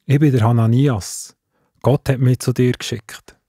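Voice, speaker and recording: Den zögerlichen Hananias. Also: male voice, medium pitch, steady tone, unhurried, dark tone, breathy edge, no room sound, studio quality. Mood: kind. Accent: Swiss German. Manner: grumbling, hesitant. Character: Hananias, reluctant, timid man. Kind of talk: children's audio drama.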